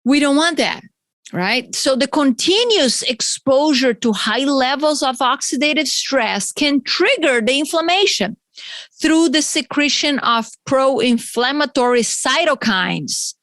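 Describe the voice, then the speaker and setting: A woman's voice: sits high, animated, measured pace, a bit bright, dry recording. Chatty Brazilian-accented woman podcaster. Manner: chatty, explains terms with asides. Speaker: podcast host. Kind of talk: solo health podcast talk.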